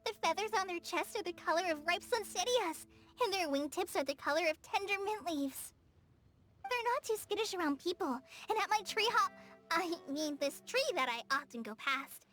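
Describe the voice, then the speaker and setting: A woman voicing an earnest, excitable young girl in a video game. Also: very high voice, moderate pace, soft background music. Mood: earnest, wistful. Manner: excitable, earnest, wide-eyed. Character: cheerful young girl forest ranger apprentice from afar. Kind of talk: scripted game voice acting.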